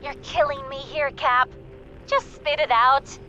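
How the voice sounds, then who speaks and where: A woman playing a feisty, loyal comrade in a video game, talking in an informal, sassy, expressive way. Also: high voice, a little slow, dull audio, background noise. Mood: teasing, exasperated. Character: feisty, loyal, teasing female comrade of the hero. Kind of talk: casual video game companion dialogue.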